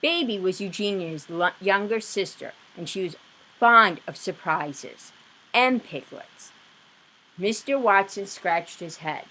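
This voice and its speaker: A woman reading a children's story aloud with expressive, deliberate narration. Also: medium pitch, poor audio. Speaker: storybook reader.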